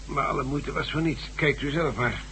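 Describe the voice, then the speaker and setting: A man's voice: mid-range voice, moderate pace, sharp tone, natural voice. A man playing a courteous, sober chief inspector, speaking formally in a Dutch radio drama. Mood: apologetic, sober. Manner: polite, formal. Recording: faintly noisy radio play with hum.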